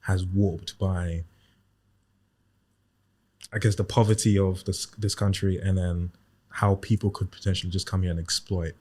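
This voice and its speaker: A man's voice: low voice, level tone, warm tone. A man from Ghana, a voice artist, talking reflectively as a guest in a casual podcast chat. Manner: reflective, measured.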